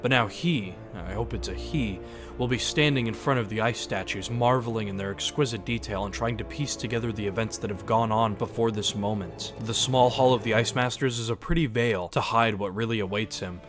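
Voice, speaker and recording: A man's voice: fairly low pitch, music in the background, lightly acted. Man as a brooding, scheming exiled villain king. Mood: scheming, menacing. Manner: deliberate, grandiose.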